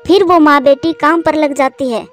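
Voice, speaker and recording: A woman's voice: high-pitched, moderate pace, soft background music. A woman reading scripted narration for a children's story.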